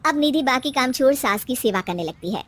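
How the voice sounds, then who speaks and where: A woman storyteller narrating a scripted animated bedtime story. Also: high-pitched, brisk, no room sound.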